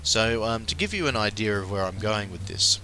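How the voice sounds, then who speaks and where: A man's voice: on the low side, animated, moderate pace, pushed and bright, a little hiss or hum. An Australian man hosting a pop culture podcast, talking casually with lots of ums and uhs. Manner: chatty, frequent ums and uhs. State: recovering from flu. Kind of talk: casual solo commentary.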